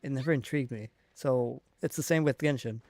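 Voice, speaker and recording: A man giving casual live commentary on a video game, rambling and halting as he thinks aloud. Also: medium pitch, darkish tone, dry recording. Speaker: game streamer.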